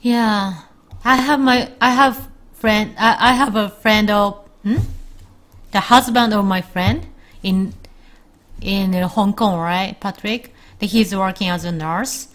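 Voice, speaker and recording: A woman's voice: medium pitch, slow, fairly bright tone. A woman from Japan with a Japanese accent, a native Japanese speaker chatting casually in English and often searching for words as co-host. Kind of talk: casual chat. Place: home in Japan.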